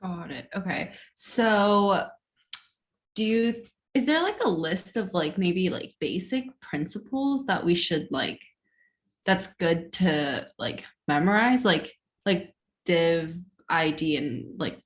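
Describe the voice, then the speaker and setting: Slow-paced, lowish-voiced female student. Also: animated. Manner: tentative, frequent "like" as filler. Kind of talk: casual class questions.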